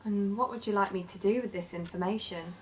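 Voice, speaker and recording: An actress playing an earnest, sympathetic investigative podcaster in an audio drama, questioning in a tentative way. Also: female voice, medium pitch, fairly even intonation, fairly quick, natural voice.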